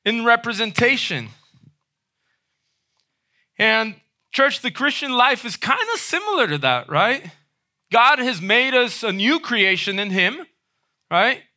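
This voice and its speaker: A man preaching a sermon in a conversational way, often checking in with a quick "right?". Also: extremely high pitch, big pitch swings, pushed and bright. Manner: conversational, frequent "right?" tag questions.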